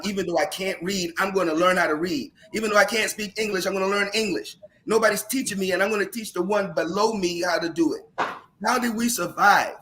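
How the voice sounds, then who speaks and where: A man who is a teacher speaks in a panel discussion with a loud voice, passionate and emphatic. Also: high-pitched, rough recording. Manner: impassioned, rising to emphatic questions.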